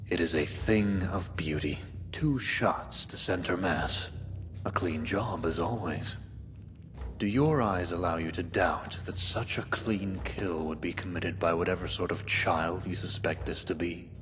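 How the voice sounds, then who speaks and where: A low, imperious voice, acted by a man for a cold zealot keeper in a video game. He speaks in pompous, measured sentences full of menace. Long reverb surrounds him and clear music plays behind. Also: a bit bright. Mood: cold, scornful. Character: cold, domineering male keeper, a zealot slaver. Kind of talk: dramatic video game dialogue.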